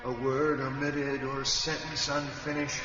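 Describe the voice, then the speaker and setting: Man acting a slow, brooding astronaut doctor, music behind. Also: medium pitch, flat delivery, highs cut off, natural voice. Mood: uneasy, brooding. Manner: halting, broken phrases, trailing repetitions. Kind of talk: radio play acting.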